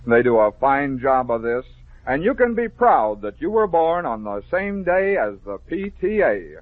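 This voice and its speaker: An American man narrating a scripted story for children as a 1950s radio host, phrasing it clearly and dramatically. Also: medium pitch, very animated, normal speed, no room sound. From American Midwest. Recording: dull, noisy vintage 1950s radio recording.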